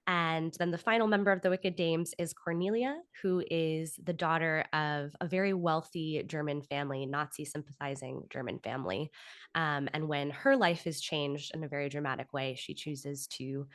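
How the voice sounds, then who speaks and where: Low-pitched woman, close dry sound. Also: a bit bright, no room sound. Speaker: podcast guest, writer and audio drama producer. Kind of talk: conversational interview, explaining own work.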